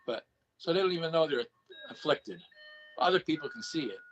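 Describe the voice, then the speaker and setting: A man's voice: a little high, lively intonation, a little fast, fairly bright tone, muffled recording, a little hiss or hum. A man on a video call chatting casually about paranormal investigation, rambling with lots of restarts and repeated words.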